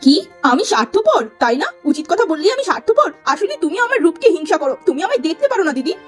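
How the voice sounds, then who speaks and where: A woman's voice: very high-pitched, very animated, fast, faint music behind, pitched above the performer's own voice. A woman voicing a spoiled, selfish younger sister in a cartoon, whining and scornful. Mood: bitter, scornful.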